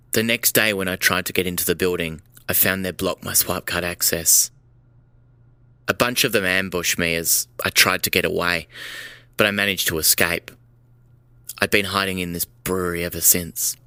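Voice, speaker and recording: A beaten-down fugitive in a sci-fi audio drama, his low voice forceful and tense. He speaks with earnest remorse, like a confession, in a dry, close recording. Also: vocal fry, dry recording, exaggerated voice.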